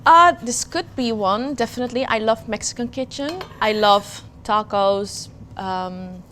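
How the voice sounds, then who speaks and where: A woman's voice: a little high, unhurried, fairly bright tone, slight background noise. Friendly woman guest, originally from Afghanistan. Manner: friendly, hesitant with many fillers. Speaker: guest, marketing manager. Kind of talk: casual chat. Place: kitchen.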